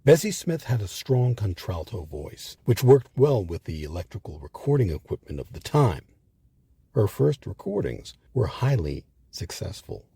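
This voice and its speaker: Husky, expressive low-voiced male narrator. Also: no room sound. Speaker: host and narrator of a history series. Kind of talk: scripted documentary narration.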